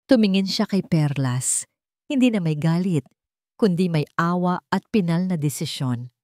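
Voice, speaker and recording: A woman's voice: on the low side, lively intonation, unhurried, warm tone, no room sound, studio quality. A woman with a Filipino accent reading a dramatic story as its narrator in scripted storytelling style.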